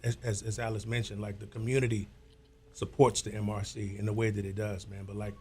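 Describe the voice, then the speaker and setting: An American man with a somewhat nasal voice, a guest on a talk show, relaxed and slangy, often calling people "man". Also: on the low side, fairly quick, breathy edge, faint music behind. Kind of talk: casual talk show chat.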